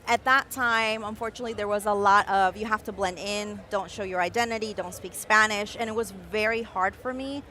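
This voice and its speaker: A young adult woman, born in Mexico City and raised in Caracas, explaining her design process with expressive enthusiasm in a live-stream interview. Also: medium pitch, fairly quick, hardly any pauses. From Mexico City, raised in Caracas, Venezuela. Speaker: guest designer.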